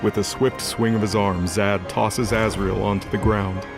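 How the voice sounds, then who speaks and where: A man's voice: fairly low pitch, level tone, moderate pace, gravelly. A man giving scripted dramatic narration as the narrator of an audio drama. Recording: music bed behind voice.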